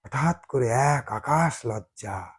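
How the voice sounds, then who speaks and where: A man reading a dramatized audio love story as storyteller, expressive and given to dramatic pauses. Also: medium pitch.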